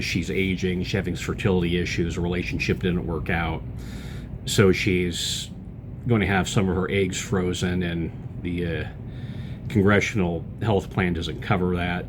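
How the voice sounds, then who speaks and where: A man with a deep, low-pitched voice talks straight into a close camera mic as a podcast host. His commentary rambles in a casual way, with lots of "uh"s and false starts. A faint low rumble sits underneath. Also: steady tone, normal speed. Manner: rambling, loose delivery with many fillers and restarts. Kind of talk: casual political commentary monologue.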